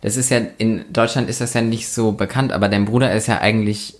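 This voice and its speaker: A woman hosting a casual chat in her kitchen, curious and quick to ask clarifying questions. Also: rumbling low, steady tone. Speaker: host. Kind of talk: casual conversation. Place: home kitchen.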